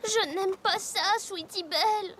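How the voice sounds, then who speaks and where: A woman's voice: very high-pitched, takes it slowly. A woman voicing a wary, skeptical schoolgirl pony in a cartoon dub, blunt and grumbling. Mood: sarcastic, worried. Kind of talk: French cartoon dub acting.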